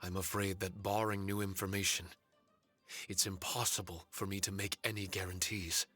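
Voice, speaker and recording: A man playing a solemn, courteous male spirit servant in an anime game, speaking formally and earnestly in a measured way. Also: low voice, little pitch movement, bright, forceful tone. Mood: solemn, resolute. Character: solemn, courteous male shikigami spirit warrior with fragmented memories. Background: faint music.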